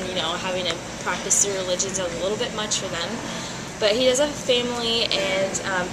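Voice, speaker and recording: A woman at mid pitch answers interview questions in a halting way, full of fillers. A loud steady noise fills the background of the homemade recording. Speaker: guest playing an author in a mock interview. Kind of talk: school mock interview. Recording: loud noisy amateur recording.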